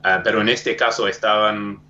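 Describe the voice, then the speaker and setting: A man's voice: lowish voice, steady tone, moderate pace, sharp tone, highs cut off. A native English-speaking man with an Argentine-tinged Spanish accent, talking as a documentary producer, hesitant and searching for words. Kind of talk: remote podcast interview. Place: home studio.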